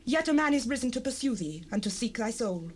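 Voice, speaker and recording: High-voiced, pleading humble wife played by an actress. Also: female voice, expressive, brisk, close to an everyday voice. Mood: fervent entreaty. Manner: earnest, supplicating, archaic formal diction. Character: humble, pleading wife bowing before a warlord. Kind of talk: dramatized King James Bible audio drama. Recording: unprocessed cassette rip with noise.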